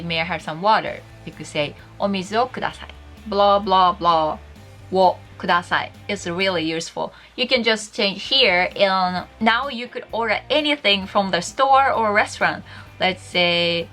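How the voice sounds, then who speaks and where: A woman's voice: medium pitch, bright, forceful tone. Bubbly Japanese-accented woman teaching. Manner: bubbly, chatty, laughs often. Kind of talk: casual video lesson.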